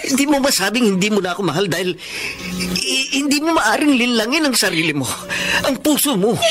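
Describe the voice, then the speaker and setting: An actor playing a possessive former lover who keeps pressing and insisting in a radio drama. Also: male voice, very high voice, animated, a little fast. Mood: insistent. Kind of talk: Tagalog radio drama acting. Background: drama music underscore.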